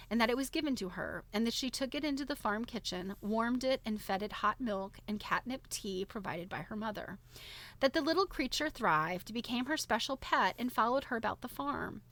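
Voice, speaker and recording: Expressive woman reading aloud, chatty. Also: a little high. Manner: giggly, reacts expressively to what is read. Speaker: host of a live book reading.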